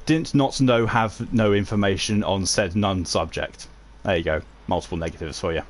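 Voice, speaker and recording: A man with a low voice chatting casually while he streams a game. He rambles and answers viewers' messages, often with a chuckle and a self-deprecating aside. A faint hiss runs underneath. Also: normal speed. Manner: reads and answers chat messages while playing. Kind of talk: casual gaming stream chat.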